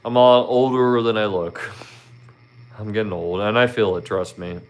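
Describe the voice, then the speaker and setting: A man in his thirties with a Philadelphia accent, hosting a casual livestream. His voice sits low and comes across smooth and resonant. He rambles in a friendly way, filling gaps with "you know". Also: moderate pace. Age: 30s. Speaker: livestream host. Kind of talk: casual livestream chat with viewers.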